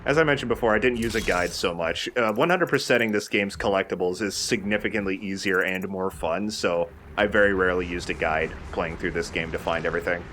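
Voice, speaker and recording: Low-voiced guy doing casual game commentary. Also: brisk, few pauses, a bit bright, slight background noise. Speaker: host playing a video game. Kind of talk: casual let's play commentary.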